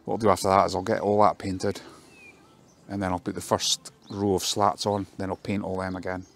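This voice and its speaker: Low, flat-voiced man in a garden. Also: fairly quick, creaky. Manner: plain, practical, with many ums. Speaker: fencing contractor. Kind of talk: casual work vlog walkthrough. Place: outdoors in a garden.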